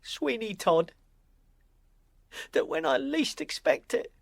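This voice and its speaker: A woman playing a frightened young apprentice boy, timid, halting and deferential, in a period audio drama. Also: a little high, very animated, gravelly, dry recording, studio quality, exaggerated voice. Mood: fearful.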